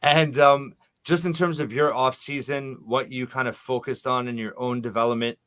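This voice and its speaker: A man's voice: mid-range voice, steady tone, normal speed, fairly bright tone. Man hosting a conversational sports podcast. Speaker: podcast host.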